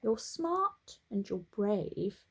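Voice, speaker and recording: A woman doing a gentle, blunt donkey owner. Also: mid-range voice, animated, drawn-out words, creaky, husky, full character voice. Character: kindly but blunt male donkey owner.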